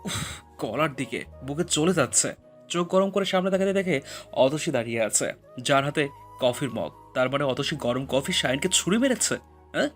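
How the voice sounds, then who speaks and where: Guy narrating a love story audio drama, voice a bit high, expressive and emotional, music under it. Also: moderate pace. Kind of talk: dramatic scripted narration of romantic fiction.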